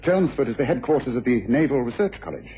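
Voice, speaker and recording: A brisk gentleman detective played by a male actor, quick and very animated in pitch. He is formal, shrewd and commanding, heard on a muffled old radio recording. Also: slight background noise, close to an everyday voice. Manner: brisk, crisp, formal, incisive. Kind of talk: old-time radio drama acting.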